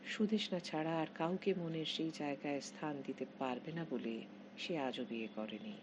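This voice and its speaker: A woman narrating a scripted literary short story in measured, expressive phrases with pauses. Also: low voice. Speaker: author reading own short story. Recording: dull lo-fi audio with heavy noise.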